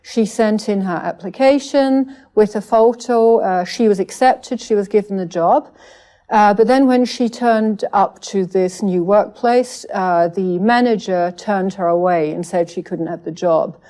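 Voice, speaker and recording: A middle-aged woman from Scotland speaks to camera in a measured, careful way. Her voice is mellow and soft-edged, and she often pauses on fillers and restarts her sentences. Her pitch sits in an ordinary middle range. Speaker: writer and religious student. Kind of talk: speaking to camera, personal commentary.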